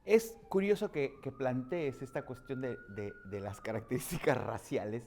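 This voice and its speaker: A man's voice: medium pitch, very animated, normal speed, creaky, warm tone. A man hosting an interview in a restaurant, asking questions expressively with lots of repeated words. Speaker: talk show host. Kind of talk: hosted interview.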